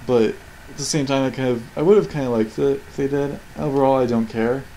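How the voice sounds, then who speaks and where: A man's voice: medium pitch, a little fast, warm tone, slight background noise, poor audio. A man giving casual, rambling and sarcastic commentary on video game cutscenes, with flippant remarks. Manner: sarcastic, flippant, often swearing mildly. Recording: game music and effects behind. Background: video game soundtrack.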